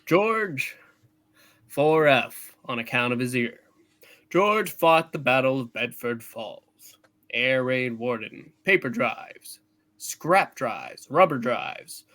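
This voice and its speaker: Slow, animated man narrating. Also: medium pitch. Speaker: narrator in a live play reading. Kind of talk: hammed-up scripted narration.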